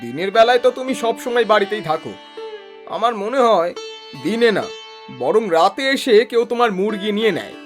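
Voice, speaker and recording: A performer voicing a helpful, concerned village man in a children's cartoon, earnest and expressive in a familiar way. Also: mid-range voice, fairly quick, soft background music, lightly acted. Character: helpful, concerned village neighbour named Ratan, male. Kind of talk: Bengali children's cartoon dialogue.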